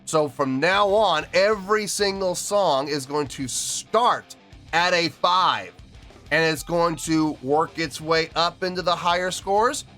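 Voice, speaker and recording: A man's voice: on the high side, slow, sharp tone. A man hosting music review videos, talking casually and emphatically to his viewers, often repeating phrases for stress. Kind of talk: casual spoken review to camera, addressing viewers.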